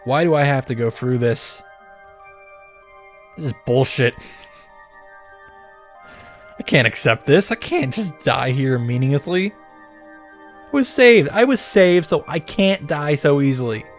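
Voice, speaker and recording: A man's voice: a little high, sing-song, slow pace, long pauses, heavily acted. A man voicing a desperate teenage boy fighter in a dramatic visual novel reading. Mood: indignant, desperate. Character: desperate teenage boy fighter narrating his near death. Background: background music.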